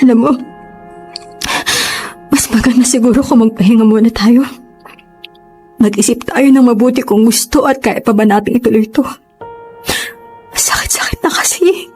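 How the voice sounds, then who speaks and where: A woman's voice: a little high, steady tone, normal speed, long pauses, dark tone, soft background music, close to an everyday voice. A woman acting a hurt, remorseful young mother in a Filipino radio drama, emotional and pleading. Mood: hurt, weary.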